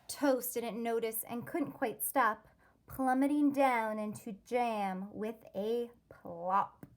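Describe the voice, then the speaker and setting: A woman teacher reading a rhyming children's story aloud, expressively and with deliberate, careful wording. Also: on the high side, fairly even intonation.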